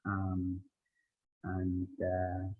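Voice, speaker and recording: A young Irish man telling his story in an interview, pausing often with ums. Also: low-pitched, completely flat delivery. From Ireland. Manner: hesitant, many ums, rambling. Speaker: interviewed student. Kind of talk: casual interview. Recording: dull lo-fi sound.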